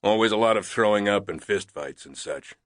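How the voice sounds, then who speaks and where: A man's voice: fairly low pitch, animated, fairly quick, dry recording, clean studio sound, close to an everyday voice. A man doing a glum, terse loadmaster. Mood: laconic. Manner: terse, laconic. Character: glum gruff male airplane loadmaster.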